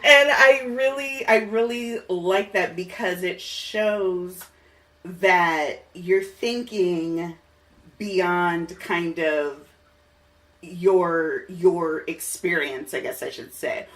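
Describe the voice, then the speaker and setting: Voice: mid-range voice, very slow, fairly bright tone. A podcast host interviewing a guest in casual, enthusiastic, chatty conversation. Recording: slightly distant mic, music underneath.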